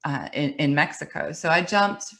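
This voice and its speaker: Low-voiced woman telling a story. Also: normal speed, creaky, fairly bright tone. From United States. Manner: conversational, storytelling, some restarts and light laughs. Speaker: webinar speaker. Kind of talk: presentation talk. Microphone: webinar computer mic.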